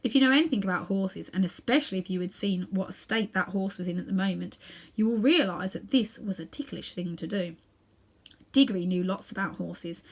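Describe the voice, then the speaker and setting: A woman reading a children's story aloud in an expressive narrating style. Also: mid-range voice. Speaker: storybook reader.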